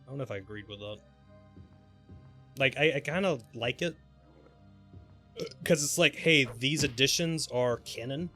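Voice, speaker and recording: A man's voice: mid-range voice, moderate pace, pauses often, fairly bright tone. A man chatting casually and informally on a live gaming stream, speculating in a rambling way. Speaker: game livestreamer. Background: background music.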